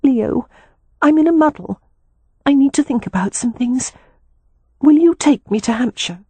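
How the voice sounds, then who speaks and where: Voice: sits high, lively intonation, measured pace, a little mellow, slightly rough, no echo, voice pushed up, exaggerated voice. A narrator voicing an earnest, distressed young married woman in an audiobook, pleading and emphatic in manner. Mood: tearful muddle. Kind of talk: audiobook character dialogue.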